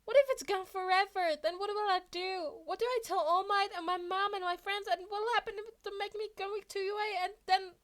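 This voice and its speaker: A woman voicing an excitable, anxious, chattering teenage boy hero student in a fan fiction reading. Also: extremely high pitch, a little fast, hardly any pauses, pitched above the performer's own voice. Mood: panicked. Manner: breathless, exclaiming, rambling.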